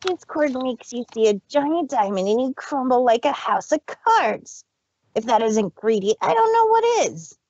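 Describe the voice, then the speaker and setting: Little dragon boy, snappy and high, woman doing it. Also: very animated, measured pace, darkish tone, no echo, lo-fi sound, exaggerated voice. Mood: angry. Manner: snarling, accusing. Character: small angry baby dragon, male.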